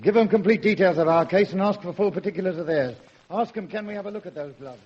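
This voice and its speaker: A stern, sceptical police inspector in a radio detective play. His voice is fairly high for a man, husky and soft-edged, with a methodical air of command, on a dull old recording. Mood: intent. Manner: brisk, authoritative, methodical. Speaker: actor in a radio detective drama. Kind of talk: radio drama acting.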